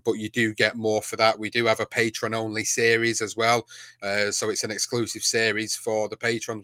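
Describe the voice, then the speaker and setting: A man's voice: medium pitch, pushed and bright, no room sound. A man with a Manchester accent, a podcast co-host, talking casually in an interview with lots of fillers and restarts. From England. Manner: rambling, many fillers and restarts.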